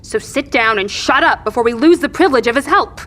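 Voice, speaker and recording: A woman's voice: high voice, lively intonation, a little fast, a bit bright. A woman playing a firm, no-nonsense peacemaker in an audio drama, speaking directly and articulately. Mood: scolding, firm.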